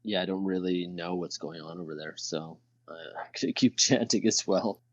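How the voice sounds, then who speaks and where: A man's voice: sits low, moderate pace, a bit bright. A man talking casually as a player in a tabletop game, on a dull lo-fi microphone. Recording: roomy, dull lo-fi microphone sound.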